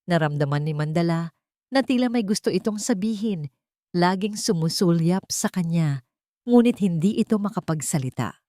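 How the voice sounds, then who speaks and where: A woman narrates a dramatic story in a lowish voice. Her pitch moves widely as she reads, and the sound is close and dry. The delivery is scripted and expressive. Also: normal speed, dry recording.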